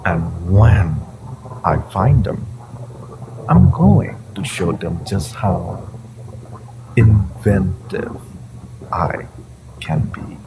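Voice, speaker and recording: A man playing an ancient, possessive hive-mind entity in an audio drama, condescending and theatrical, with amused menace and a low, creaky voice. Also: animated, noisy background, exaggerated voice. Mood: threatening. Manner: theatrical, condescending, halting with drawn-out menace and chuckles. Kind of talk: scripted audio roleplay addressed to the listener.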